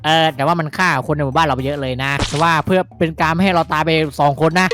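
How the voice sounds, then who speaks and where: A man playing an older, bossy but protective village uncle in an improvised Minecraft roleplay, speaking in a halting, explanatory way. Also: fairly high pitch, fairly bright tone, a little hiss or hum, close to an everyday voice. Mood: grave, reasoning. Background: music.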